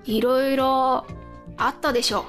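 High-pitched woman hosting a chatty radio show. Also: fairly even intonation, moderate pace, fairly bright tone, faint music behind. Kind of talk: casual radio chat between co-hosts.

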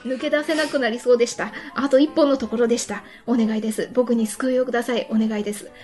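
A woman's voice: fairly high pitch, fairly even intonation, quick pace, darkish tone. A woman hosting a casual radio talk show, reading out listener messages. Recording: light music behind the voice. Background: background music.

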